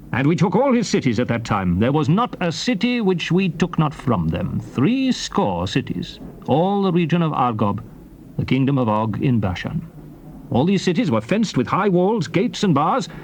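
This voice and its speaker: An actor playing a solemn old prophet recounting conquests to his people, in declamatory, measured theatrical scripture style. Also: fairly high pitch. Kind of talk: dramatized scripture reading, theatrical oratory. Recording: faint noise from old cassette tape.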